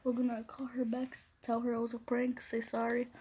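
A young female livestreamer chatting casually and excitably on a phone while making prank calls. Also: on the high side, brisk. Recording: noisy amateur phone recording with clicks.

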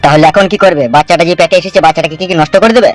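A man's voice: a little high, rapid-fire, natural voice. A man voicing a matter-of-fact, nosy doctor in a comedy cartoon, asking questions over music.

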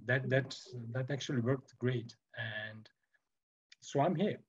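A man in his forties from Serbia with a Serbian accent, speaking as a guest on a video call interview, halting with many fillers and restarts. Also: medium pitch, breathy edge. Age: 40s. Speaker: guest sculptor. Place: home workroom with shelves. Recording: muffled video call audio.

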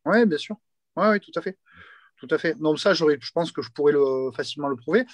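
A man from Aix-en-Provence calling into a video-call Q&A, friendly and quick to agree. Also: on the high side, lively intonation, dry recording. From France, Aix-en-Provence. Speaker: caller asking questions. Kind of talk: casual Zoom chat.

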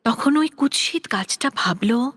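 A woman tells children's fables in a high voice with lively, swinging intonation. Her pace is slow and deliberate, like scripted storytelling.

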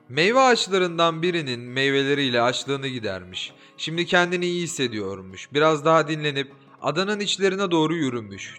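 A man reading a folk tale aloud as an audiobook narrator, expressive and lively, giving the characters their own voices. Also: on the high side. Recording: soft background music.

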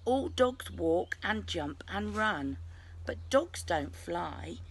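A woman's voice: lowish voice, lively intonation, slow pace, slightly rough, full character voice. A woman voicing a stern, cross female teacher dog in a storybook, scolding emphatically. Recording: noisy outdoor ambience.

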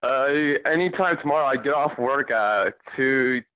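A man's voice: mid-range voice, animated, moderate pace, no echo. An American man in a casual phone chat, hesitant and polite, heard over a band-limited phone line. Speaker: online seller receiving a prank call. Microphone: telephone.

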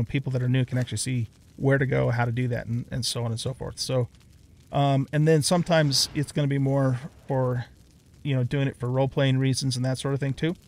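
A middle-aged man giving casual let's play commentary as a YouTube host, rambling and thinking aloud as he plays. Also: mid-range voice.

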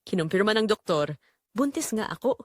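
A woman playing a guilt-ridden pregnant young wife, telling her secret as an anguished, measured, first-person dramatic confession. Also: medium pitch, very animated, dry recording, clean studio sound. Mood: anguished, burdened.

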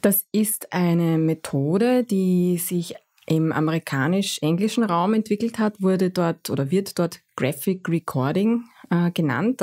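A middle-aged woman with a Viennese accent, a guest explaining her drawing work in an interview, pausing often with fillers as she thinks. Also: lowish voice, a little mellow. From Vienna, Austria. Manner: thoughtful, frequent hesitations. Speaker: guest, graphic recorder. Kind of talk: interview answers.

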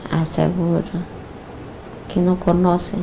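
An older woman lying down after a hypnosis session, answering slowly and hesitantly, with restarts and soft agreement. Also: lowish voice, flat delivery, vocal fry, a little hiss or hum, low-quality recording. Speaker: hypnosis client. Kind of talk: casual talk after a session. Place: lying down in an indoor therapy room.

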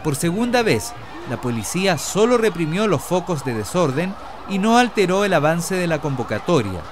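A man's voice: a little high, big pitch swings, moderate pace, a crowd in the background, background noise. A man narrating a scripted radio news report.

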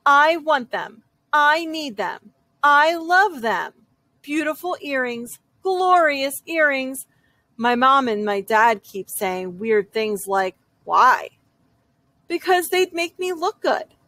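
A woman reading a children's picture book aloud as a young girl's story, with dramatic, drawn-out emphasis. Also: high voice, sharp tone, dry recording.

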